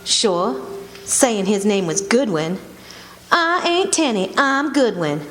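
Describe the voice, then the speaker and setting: A woman's voice: a little high, big pitch swings, slow pace, fairly bright tone, heavily acted. A woman playing a hard, bereaved older mother with blunt, folksy speech in a radio drama. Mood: bitter, accusing. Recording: echoey hall stage recording.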